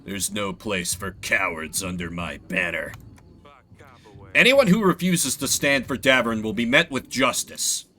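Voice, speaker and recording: A man's voice: fairly low pitch, lively intonation, a little slow, pushed and bright, a little hiss or hum, pitched below the performer's own voice. A man voicing a stern medieval king who speaks in a solemn, formal, commanding way in a fantasy game. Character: stern medieval king addressing his subjects and army.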